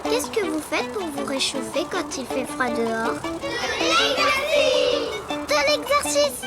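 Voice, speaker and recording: A woman voicing a small, cheerful girl bird in a children's cartoon, excitable and eager. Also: extremely high pitch, slow, full character voice. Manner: excitable, squealing with joy. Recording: loud music behind.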